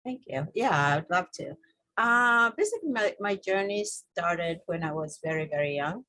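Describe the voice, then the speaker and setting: A woman with a Kenyan accent telling her story conversationally as an interview guest, in an informal, halting way with many "you knows". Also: fairly low pitch, lively intonation, pushed and bright, no echo. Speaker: interview guest, holistic health coach.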